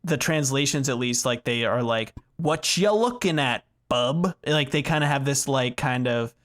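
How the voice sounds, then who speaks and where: A man with a mid-pitched voice in loose, rambling conversation as a gaming podcast co-host. He talks casually, circles around his points and keeps a joking tone. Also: normal speed, a bit bright. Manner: rambling, filler-heavy, joking. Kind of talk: casual rambling chat about video games.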